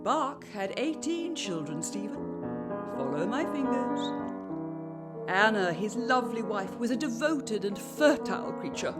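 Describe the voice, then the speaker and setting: Haughty Victorian lady, animated delivery. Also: on the high side, a little slow, loud music behind the voice. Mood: instructing, exacting. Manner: imperious, precise, arch, theatrical. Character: haughty, brittle, respectable 19th-century lady of the house. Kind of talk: period radio drama acting.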